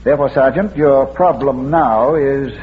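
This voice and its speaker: Urbane gentleman sleuth, played by a man, old muffled radio. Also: medium pitch, close to an everyday voice. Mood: deducing, composed. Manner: measured, urbane, dryly witty. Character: bearded, urbane, philosophical amateur sleuth, unflappable gentleman. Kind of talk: 1940s radio mystery acting. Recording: dull, noisy old radio recording.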